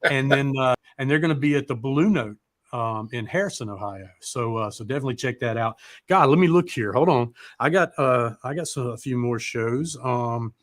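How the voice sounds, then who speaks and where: A man chats informally as a live show host in a dry, close sound. He rambles through promos, loses his thread and throws in mild swearing, all at an ordinary middle pitch. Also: normal speed, a bit bright, dry recording. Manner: informal, mildly profane, often losing his train of thought.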